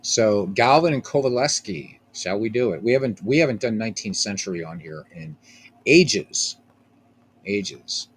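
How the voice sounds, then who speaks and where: A man's voice: on the low side, lively intonation, normal speed, slight background noise. A man hosting a casual live stream of a baseball game, rambling, repeating himself and chatting with viewers by name.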